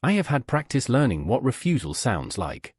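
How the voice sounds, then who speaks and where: A man voicing a principled, self-restrained Regency duke in an audiobook, speaking earnestly and deliberately. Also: mid-range voice, huge pitch swings, darkish tone, breathy edge, no echo, studio quality.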